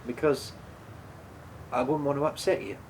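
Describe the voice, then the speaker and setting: A man's voice: medium pitch, steady tone, moderate pace, a little mellow, slight background noise, close to an everyday voice. An actor in a radio play voicing a guilt-ridden, apologetic man who stammers and hesitates as he speaks. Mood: nervous, defensive.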